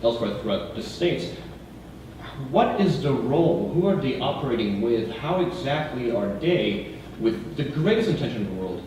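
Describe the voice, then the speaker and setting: A man's voice: medium pitch, very animated, moderate pace, a little mellow. A young man from Israel, a student, asking a question from the audience in a hesitant way full of fillers. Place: university lecture room. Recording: echoey room, voice from far off, audible noise.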